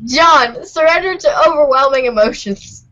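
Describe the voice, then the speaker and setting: A woman reading brisk commands aloud as the prompt narrator of a web comic readers' theater. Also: high voice, a bit bright, lo-fi sound. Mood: commanding.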